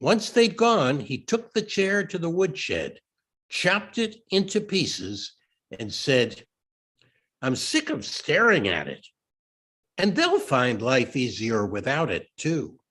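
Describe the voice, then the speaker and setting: A man giving a deliberate dramatic reading of a literary story. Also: fairly high pitch, sing-song, fairly bright tone. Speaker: reader.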